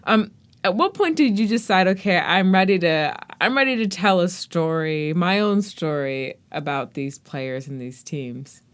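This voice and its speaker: Female podcast interviewer, fairly low voice, conversational delivery. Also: animated, measured pace, clean tone.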